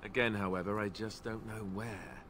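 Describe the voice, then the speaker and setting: A young male warrior companion from a fantasy game, played by an actor, talks in a wry, obliging way. His voice is fairly low and conversational, with faint ambience behind it. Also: steady tone, normal speed, fairly bright tone, slight background noise, lightly acted. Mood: wry, helpful. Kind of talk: video game dialogue acting.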